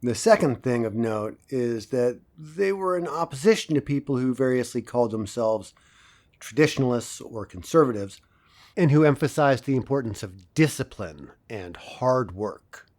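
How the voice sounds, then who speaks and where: A man performs an assured professor of a dystopian future in an audio drama. His mid-pitched voice lectures in a measured, didactic way, with dry wit in the delivery. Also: expressive, darkish tone, natural voice. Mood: didactic, wry. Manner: didactic, measured, dryly wry.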